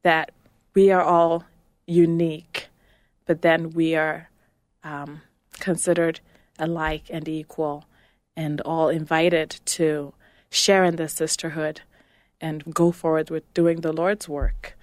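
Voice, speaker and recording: A woman guest answering in a measured, thoughtful way. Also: sits low, flat delivery, no echo. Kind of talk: reflective answer.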